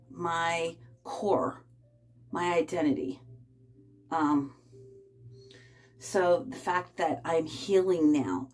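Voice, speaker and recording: Fifties woman, low voice, rambling phone vlog from a bathroom. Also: a little mellow. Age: 50s. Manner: rambling, halting, many fillers. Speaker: vlogger. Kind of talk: casual personal vlog. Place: small bathroom, in a bathtub. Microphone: phone held close.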